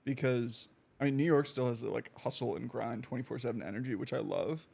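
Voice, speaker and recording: A man co-hosting a podcast, asking questions in a relaxed, casual way and swearing freely. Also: mid-range voice, brisk, gravelly, breathy edge. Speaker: podcast co-host. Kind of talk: casual conversational interview.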